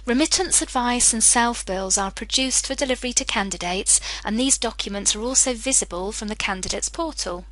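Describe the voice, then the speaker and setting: A woman presenting a software demo as a scripted screencast, explaining clearly step by step. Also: fairly high pitch, brisk, hardly any pauses, no echo. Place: voice-over for screen recording.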